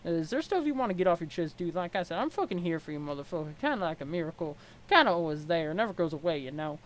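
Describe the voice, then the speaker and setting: A performer voicing a young male alien slacker clown, mellow and foul-mouthed, who rambles in slangy, swearing sentences. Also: mid-range voice, sing-song, fast, natural voice, poor audio. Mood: chummy, laid-back. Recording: clear background noise.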